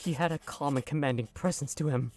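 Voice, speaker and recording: An actor playing a haunted young man recounting his captivity in a hesitant, halting way. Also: on the high side, darkish tone, close to an everyday voice. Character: haunted young male witness, former captive.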